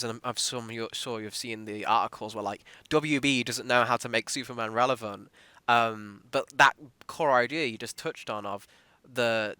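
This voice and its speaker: A man's voice: medium pitch, pushed and bright, dry recording. A man hosting a podcast, interviewing a guest in a conversational style. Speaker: podcast host interviewing an actor.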